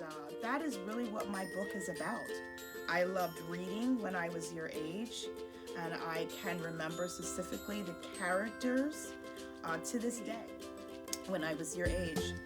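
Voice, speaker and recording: A woman talks to young children as a guest author, taking her time with each phrase. She is encouraging and effusive, with music playing clearly behind her voice. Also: lowish voice. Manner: encouraging, gushing. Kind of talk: warm address to young children, read-aloud.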